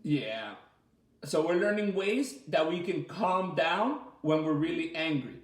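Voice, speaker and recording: A man leading a children's story time as a teacher, reading aloud and asking the listeners simple questions in an animated way. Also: a little high, a little slow. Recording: roomy, echoey sound.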